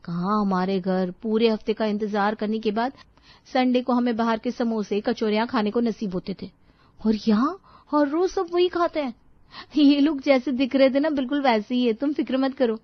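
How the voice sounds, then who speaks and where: A woman voicing a cheerful, chatty young newlywed daughter-in-law in a storybook tale, excitable and girlish. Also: fairly high pitch, moderate pace, dry recording, muffled recording, natural voice. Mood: delighted.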